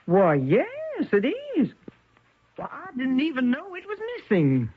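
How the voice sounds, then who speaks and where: An actress playing a wealthy widow, folksy, hesitant and evasive, in an old-time radio mystery. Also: female voice, sits high, wildly swooping pitch, a little slow, breathy edge, full character voice. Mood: flustered. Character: wealthy widow, flustered and folksy, feigning innocence. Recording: muffled, telephone-like vintage radio recording.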